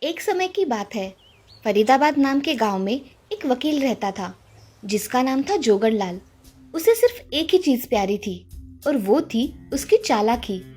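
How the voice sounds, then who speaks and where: Female narrator, animated intonation, high voice, scripted moral story, faint music bed. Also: a little slow, husky.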